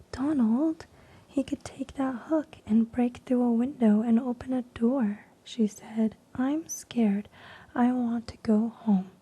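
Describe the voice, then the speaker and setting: A woman reading stories in a soft unvoiced ASMR whisper, slow and hushed with frequent ums, while losing her voice. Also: a little high, breathy edge. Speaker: ASMR creator.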